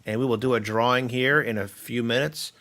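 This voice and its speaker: A man's voice: mid-range voice, brisk, a bit bright. Brief: male host, easygoing and joking, live stream chat. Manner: easygoing, joking, runs giveaways. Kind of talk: casual chat.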